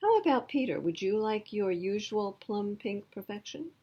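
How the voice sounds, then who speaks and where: A woman's voice: mid-range voice, very animated, moderate pace, husky, lo-fi sound, pitched above the performer's own voice. A woman voicing a courteous, eager male ice cream seller from a storybook, in a singsong manner. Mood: cheerful, eager. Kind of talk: storybook character reading.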